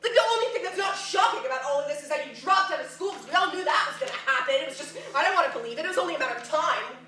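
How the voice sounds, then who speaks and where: Woman acting an exasperated mother, high, echoey. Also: expressive, very fast, bright, forceful tone. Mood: wounded fury. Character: exasperated, wounded mother of a lying grown daughter. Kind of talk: staged play reading. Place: echoey room at a staged reading, far mic. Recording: far mic, echoey noisy room.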